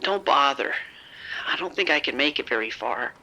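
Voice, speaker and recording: An actor playing a frightened, bitten man who is falling sick, halting and whispery in a horror audio drama. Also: mid-range voice, expressive, creaky, bright, forceful tone, exaggerated voice, poor audio. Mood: weak, bewildered. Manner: halting, whispery, repeating himself. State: sick, weak, faltering. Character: frightened, sickening bitten man, unravelling and rambling.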